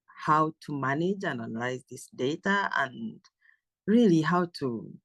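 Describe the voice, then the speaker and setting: A woman from Uganda, a professor and author, giving a measured, careful self-introduction with frequent pauses and ums. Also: low voice, animated, a bit bright, no room sound, studio quality.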